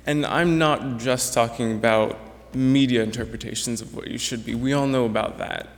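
A man's voice: mid-range voice, normal speed. A young man, a graduating student, giving an earnest, reflective prepared speech to an audience. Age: young adult. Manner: earnest, reflective, with some restarts. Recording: echoey hall with long reverb.

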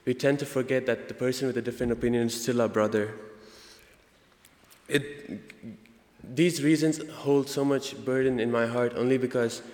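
A young man with an Indian accent gives an earnest prepared student speech, halting now and then to correct himself. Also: medium pitch, steady tone, a little mellow. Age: young adult. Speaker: student speaker. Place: large indoor hall, convocation. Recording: echoey hall with long reverb.

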